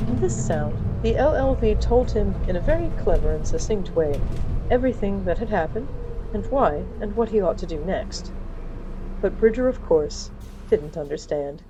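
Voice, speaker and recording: A woman's voice: medium pitch, muffled recording, noisy background. A woman narrating scripted fiction in a dramatic, wry storytelling manner.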